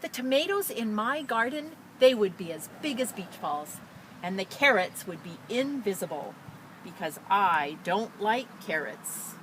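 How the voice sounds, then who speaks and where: Woman, bright voice, lively pitch swings, children's read-aloud style, enthusiastic, some background noise. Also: a little slow, rough recording. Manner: expressive, enthusiastic, addressing young children. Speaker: teacher librarian reading a picture book to children. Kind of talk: children's story read-aloud with casual introduction.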